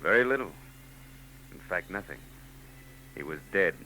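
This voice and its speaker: A man's voice: low voice, animated, normal speed, bright, forceful tone, rough recording. An actor playing a wry, weary male private eye in a 1940s radio drama, dry and sardonic. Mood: probing. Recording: old radio broadcast with hum.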